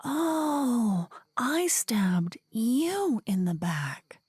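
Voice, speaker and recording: A woman's voice: fairly high pitch, big pitch swings, extremely slow, darkish tone, slightly rough, no room sound, studio quality, pitched above the performer's own voice, heavily acted. A woman playing a spiteful, taunting rival necromancer woman in a whispery fantasy ASMR roleplay, menacing and mocking.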